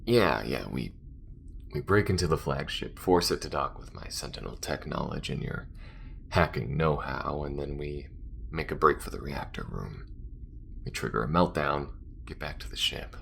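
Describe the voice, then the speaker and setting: A man playing an alien smuggler in an intimate audio roleplay, tender, wry and worried, speaking in a soft, halting, breathy murmur. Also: expressive, slight background noise, full character voice. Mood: anxious, planning. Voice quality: very deep, gravelly, breathy murmur. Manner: halting, soft-spoken, often dropping to a whisper.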